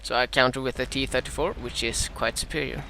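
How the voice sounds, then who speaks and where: A Swedish-accented man with a slightly dry throat talks through his own strategy game match as a beginner commentator, at a middle pitch. He stops and restarts often, filling the gaps with "uh" while he fixes his wording. A faint hiss of noise sits under his voice. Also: steady tone. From Sweden. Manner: halting, frequent "uh" fillers and self-corrections. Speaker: gameplay commentator.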